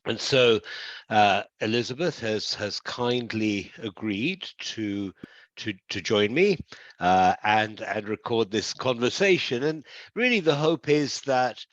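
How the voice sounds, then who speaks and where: A man's voice: lowish voice, animated, slow pace, no room sound. A middle-aged man, an accountancy tutor, hosting a casual interview over a video call, full of restarts and fillers. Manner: hesitant and warm, lots of restarts and fillers. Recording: dry, slightly dull audio.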